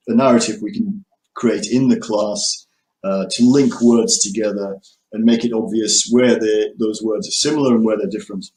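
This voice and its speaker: A man from the UK introducing himself as an English teacher and walking through his lesson materials, measured, with frequent ums and restarts. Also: on the low side, a little mellow, echoey room, poor audio. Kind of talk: self-introduction and screen walkthrough.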